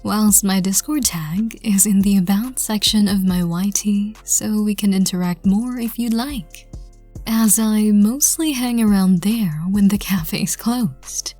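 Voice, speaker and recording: A woman giving a gentle scripted channel outro to listeners as a café-style host, with music behind her. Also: medium pitch.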